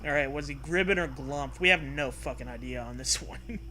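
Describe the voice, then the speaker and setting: A man's voice: medium pitch, fairly quick, pushed and bright, slight background noise. A man co-hosting and reading a comic gamebook aloud, mixing narration with casual, irreverent banter. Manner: playful, irreverent.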